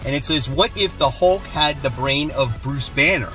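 A man in his forties hosting a casual vlog from a parked car, chatty and relaxed, filmed on a phone at arm's length. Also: mid-range voice, moderate pace, faint music behind. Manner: relaxed, chatty, enthusiastic about his finds. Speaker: comic book channel host. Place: parked car, then outdoors and inside a shop. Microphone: phone camera at arm's length.

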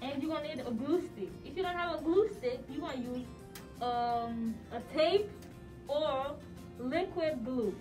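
A young woman in a small classroom, giving children clear step-by-step craft directions. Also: high-pitched, a little slow. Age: young adult. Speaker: student teacher leading a craft activity. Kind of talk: instructing children. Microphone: far camera. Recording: distant mic with music behind.

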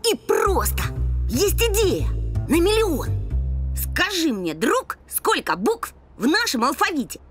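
A woman playing an eager, bossy young boy puppy full of big ideas in an animated cartoon. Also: extremely high pitch, slow, soft background music, exaggerated voice. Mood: eager, persuasive.

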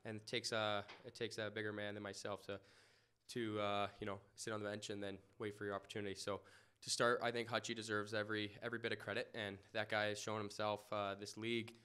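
A young man answering reporters after a game in an indoor press room, humble and halting, with many fillers and restarts. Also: fairly low pitch, little pitch movement, bright, forceful tone, smooth. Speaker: lacrosse goalie. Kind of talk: post-game press interview. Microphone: podium mic in front.

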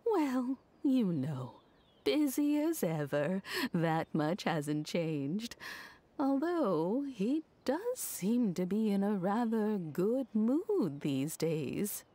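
A woman voicing a kindly elderly servant woman in a video game, speaking gently and graciously. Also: mid-range voice, sing-song, slow pace. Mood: gentle. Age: older. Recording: soft game music behind.

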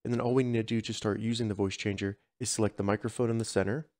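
A man hosting a tech tutorial, giving clear step-by-step instructions in scripted narration. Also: fairly low pitch, steady tone, rapid-fire, a little mellow, no echo, clean studio sound. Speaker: host of tech tutorial channel.

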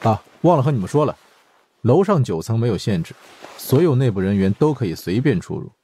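A man performs a brusque male bureau director for an audiobook. The voice is dark and husky, lively in pitch, with a curt, dismissive grumble. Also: a little fast. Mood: matter-of-fact.

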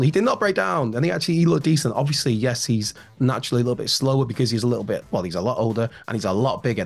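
A man in his thirties hosting a solo wrestling news show, his voice slightly hoarse and raspy, chatty and rambling with fillers and asides, recorded at home on a studio mic. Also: mid-range voice. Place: home room with shelves, indoors. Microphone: large studio mic in front, headphones.

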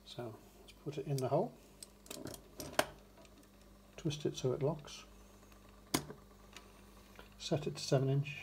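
A man with a mid-pitched voice explains a piece of machinery step by step. He speaks in short, plain instructions, as if working with his hands as he goes. There is clear background noise with small mechanical clicks. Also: takes it slowly, long pauses. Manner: plain, matter-of-fact instructions in short phrases. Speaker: demonstrator of a vintage record player. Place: indoors, beside a wooden radiogram.

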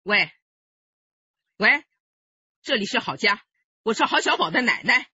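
A woman's voice: a little high, big pitch swings, unhurried, pushed and bright, husky, exaggerated voice. An actress playing an anxious but steely elderly grandmother in a radio drama, bossy and scolding. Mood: urgent, bossy. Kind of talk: Chinese radio drama acting. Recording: dull radio-drama recording.

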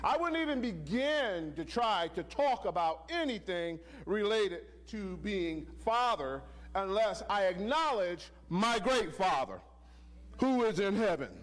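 Loud-voiced preaching man in a church. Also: high voice, expressive. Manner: loud, call-and-response preaching, sings lines slowly. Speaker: deacon preaching. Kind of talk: sermon with sung hymn. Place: church sanctuary at a pulpit. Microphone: handheld mic.

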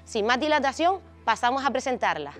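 Young canarian woman reading a scripted intro on a stage, clear and measured. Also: sits high, sing-song, soft background music. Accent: Canary Islands Spanish. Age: young adult. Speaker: social program facilitator presenting. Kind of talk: scripted presentation to camera. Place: dark theater stage.

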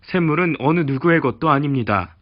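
A man's voice: fairly high pitch, animated, fairly quick, lo-fi sound, lightly acted. A man voicing a kind, humble woodcutter who speaks plainly and politely in a storybook reading. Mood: earnest.

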